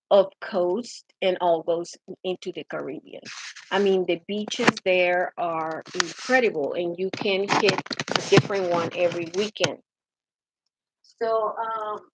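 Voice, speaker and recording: A woman's voice: medium pitch, a little hiss or hum, poor audio. A middle-aged woman from Venezuela with a Venezuelan Spanish accent, telling her own story with many fillers and restarts. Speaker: interviewee. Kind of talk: personal account of her country.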